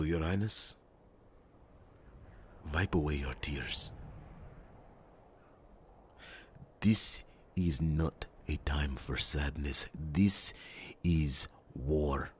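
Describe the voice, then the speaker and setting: Casting: grave warrior in ASMR fantasy roleplay, bottomless bass register, heavily breathy and rasping, deliberate delivery. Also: male voice, full character voice. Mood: grave, determined. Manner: solemn, deliberate, with dramatic pauses.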